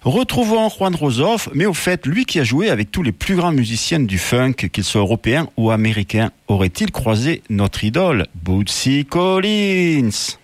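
A man's voice: medium pitch, very animated, moderate pace, few pauses, no room sound. A man hosting a music radio show, interviewing guests in a casual, conversational style. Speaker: radio host. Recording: music playing under the voice.

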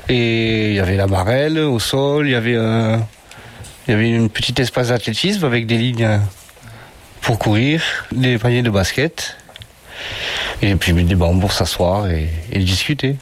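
A man's voice: medium pitch, normal speed. A middle-aged man, a parent, answering children's questions in simple, friendly words. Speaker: interviewee, parent. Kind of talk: casual interview answers.

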